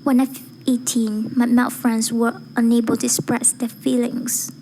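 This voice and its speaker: A woman with a fairly high, breathy voice recites a formal speech. Her delivery is measured and deliberate, with very little melodic movement. The tone is soft-edged and mellow, over faint background noise. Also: little pitch movement, poor audio. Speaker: amateur voice-acting student reciting a speech.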